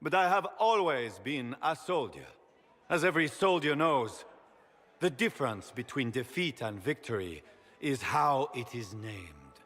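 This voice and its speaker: Fantasy game emperor, proud soldier, declamatory, widely swooping pitch, long hall reverb, music behind. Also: male voice, lively intonation, a little slow, a bit bright. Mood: solemn. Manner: grand, solemn, declamatory. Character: newly crowned emperor, proud battle-hardened soldier. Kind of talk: formal victory speech in a fantasy video game.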